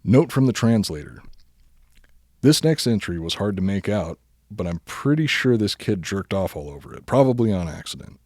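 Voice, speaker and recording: A man reading a comic bedtime story aloud as a podcast host, mostly deadpan but prone to giggling. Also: on the low side, normal speed, no echo, clean studio sound.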